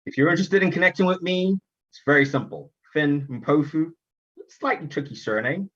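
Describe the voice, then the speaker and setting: A man's voice: on the high side, moderate pace, fairly bright tone, husky, highs cut off. A man from Zimbabwe hosting a virtual summit welcome, speaking earnestly with fillers and restarts. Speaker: event host. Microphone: virtual conference stream.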